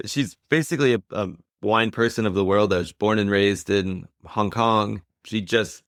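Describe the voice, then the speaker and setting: A man's voice: on the low side, a bit bright, clean tone, dry recording, clean studio sound. A man hosting a podcast in a casual, conversational style. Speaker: podcast host.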